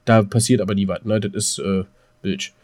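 A man's voice: fairly low pitch, steady tone, moderate pace, a little mellow. A man with a German accent co-hosting a casual podcast, explaining things and putting questions to his partner and the listeners. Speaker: podcast co-host.

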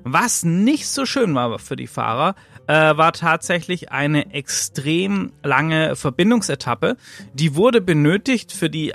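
Chatty German-speaking podcast host, higher voice. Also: male voice, fairly bright tone. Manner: chatty, loose, frequent filler sounds. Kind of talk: casual solo podcast commentary.

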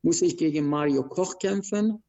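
A man from Iran with an Iranian accent, a wrestler recounting his career in an interview, speaking in halting, broken phrases with many restarts. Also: on the high side, dark tone, lo-fi sound. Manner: broken grammar, frequent restarts and hesitations. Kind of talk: interview, telling his life story.

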